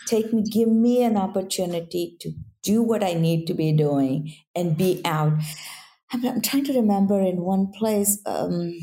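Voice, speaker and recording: A woman's voice: medium pitch, dark tone. A woman speaking English with an accent, a guest in a casual interview who keeps checking in with "right?". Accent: non-native English accent. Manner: earnest, repeats "right?" to check in.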